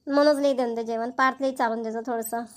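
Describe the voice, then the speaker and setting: Lady doing a tired village auntie for a cartoon, high voice, varhadi marathi accent, homely grumbling. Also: steady tone, quick pace, close to an everyday voice. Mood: weary. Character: tired, heavyset middle-aged village mother-in-law. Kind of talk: cartoon family comedy voice acting.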